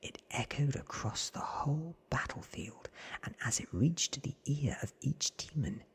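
A man voicing an earnest, awed young man from a storybook in a hushed, breathy ASMR whisper. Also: mid-range voice, animated, voice pushed up, full character voice. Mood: awed, eerie. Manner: hushed, drawn-out, earnest telling.

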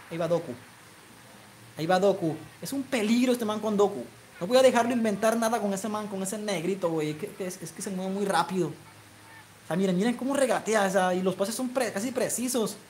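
A young man from Colombia's Caribbean coast with a coastal Colombian accent, casually chatting with viewers and calling a football video game match, his voice climbing when he shouts goal calls. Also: high voice, moderate pace, a little hiss or hum. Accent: Colombian Caribbean coastal Spanish. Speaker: gaming streamer. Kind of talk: live football game commentary and chat.

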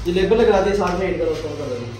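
A young man with a Pakistani accent gives an informal, explanatory product demonstration. His voice sits high for a man. He is heard from a distance in a very echoey tiled room, with faint noise behind him. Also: animated, rapid-fire, music in the background. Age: 20s. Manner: explanatory, with frequent check-in tags. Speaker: business video host demonstrating machinery. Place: small tiled indoor factory room.

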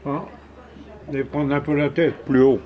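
A man's voice: a little high, steady tone, measured pace, crowd noise. An older man chatting casually in a small café while old films play, repeating and stumbling over words. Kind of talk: casual chat. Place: small cluttered café indoors. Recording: echoey, dull, noisy room sound.

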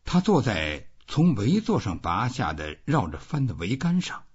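A man's voice: mid-range voice, wildly swooping pitch, unhurried, slightly rough, lo-fi sound. A man reading scripted literary narration as an audiobook narrator.

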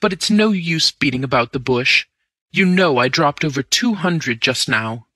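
A man voicing a desperate young gentleman who has lost everything, pleading and anguished. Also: on the high side, extremely slow, gravelly, dry recording, exaggerated voice.

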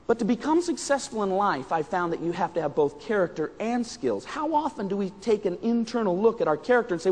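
A middle-aged American man giving an interactive keynote speech, projecting emphatically to his audience. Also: extremely high pitch. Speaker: motivational keynote speaker. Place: stage in a large indoor conference hall. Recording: reverberant large hall.

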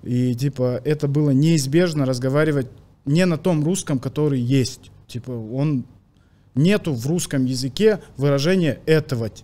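A young man, a comedian guest from Kazakhstan, talking in a podcast studio on a studio mic, animated, with loud bursts and quiet asides. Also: mid-range voice, normal speed. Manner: animated storytelling with hand gestures, swings between loud bursts and quiet asides. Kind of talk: casual podcast chat. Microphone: studio mic with headphones.

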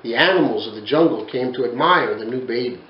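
A man's voice: medium pitch, a little fast, reverberant, highs cut off, slight background noise. A man in his forties reading a children's picture book aloud in a bookshelf-lined study, telling it expressively. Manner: expressive, animated storytelling. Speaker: storyteller.

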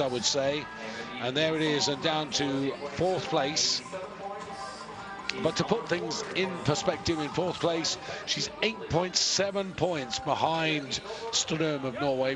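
Unhurried male ski jumping commentator. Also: a little high. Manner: measured, informative, frequent pauses and fillers. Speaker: sports commentator.

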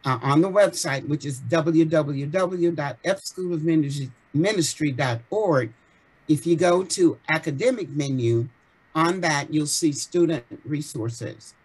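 A woman's voice: deep voice, slow, a little mellow, breathy edge. Woman walking a class through a syllabus. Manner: practical, step-by-step explaining. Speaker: course administrator. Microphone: video call.

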